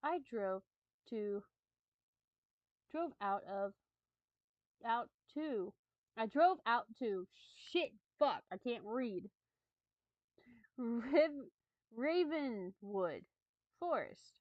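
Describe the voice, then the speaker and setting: A fairly high-voiced woman streamer reads eerie stories at an unhurried pace, tripping over words and cursing at her own mistakes. Her voice sits close and dry on a dull, lo-fi mic. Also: animated, dry recording. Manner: halting reading with stumbles and swearing; laughs and chats with viewers between readings. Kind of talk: casual chat and dramatic horror reading.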